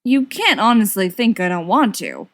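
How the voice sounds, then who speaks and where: A woman's voice: mid-range voice, sing-song, normal speed, fairly bright tone, dry recording. A woman voicing a nervous young man in an audiobook, flustered, evasive and fumbling for words. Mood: defensive. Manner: stammering, evasive.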